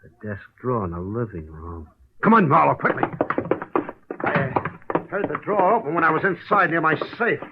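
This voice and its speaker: An actor playing an anxious, uneasy man in an old-time radio detective drama, husky and agitated, halting then urgent. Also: on the high side, expressive, heavy background noise. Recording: dull old radio recording.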